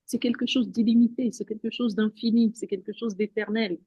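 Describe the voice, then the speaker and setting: A woman's voice: on the high side, steady tone, fairly quick, warm tone, slightly rough, dry recording, muffled recording. A woman speaking as an interview guest in an earnest spiritual tone, who often repeats words and restarts her sentences. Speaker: guest, women's wellness practitioner.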